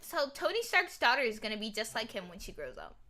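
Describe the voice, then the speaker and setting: A woman's voice: fairly high pitch, big pitch swings, quick pace, pushed and bright. A young woman chatting casually as a co-host in a live-streamed fan discussion.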